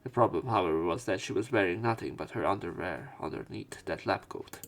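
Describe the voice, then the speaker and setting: A man's voice: low-pitched, fairly quick. A man reading a visual novel aloud as a let's player, acting out the dialogue expressively. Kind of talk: dramatic read-aloud narration of a visual novel.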